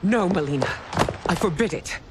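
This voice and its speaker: A woman's voice: lowish voice, animated, normal speed, background noise, close to an everyday voice. Woman acting an imperious empress, widely swooping delivery. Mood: commanding. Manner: imperious, formal. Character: proud, bitter widowed empress and stern mother. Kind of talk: dramatic video game voice acting.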